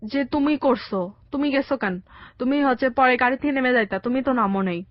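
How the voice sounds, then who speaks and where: A young woman with a Bangladeshi accent giving a halting, colloquial personal account as a radio interview guest. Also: high voice, dry recording, highs cut off. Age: young adult. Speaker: interview guest telling her life story. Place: radio studio.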